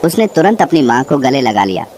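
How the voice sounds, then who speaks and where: A man's voice: mid-range voice, sing-song, a little fast. A man reading a scripted horror story as its narrator, with insect and bird ambience behind him. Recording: clear background noise, nature ambience.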